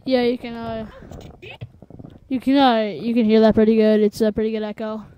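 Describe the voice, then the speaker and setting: A woman presenting a casual software tutorial in a halting, informal, offhand way. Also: fairly high pitch, flat delivery, lo-fi sound, a little hiss or hum.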